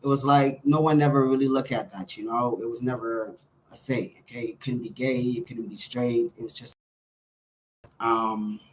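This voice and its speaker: A man's voice: medium pitch, steady tone, normal speed, slight background noise, rough recording. A man in his thirties with a Bahamian accent tells a personal story to camera in a candid, hesitant way. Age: 30s. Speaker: vlogger.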